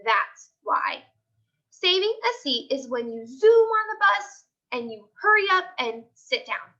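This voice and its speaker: A woman voicing an excitable, opinionated little kindergarten girl in a children's chapter book, childlike and dramatic. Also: extremely high pitch, a little slow, muffled recording, voice pushed up, exaggerated voice. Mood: emphatic, opinionated.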